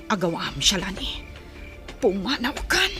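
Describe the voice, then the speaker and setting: A woman's voice: medium pitch, sing-song, unhurried. A woman playing a brave, protective older sister urging an escape in a radio drama, with a Filipino accent. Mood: urgent, loud. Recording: radio drama with faint music underneath.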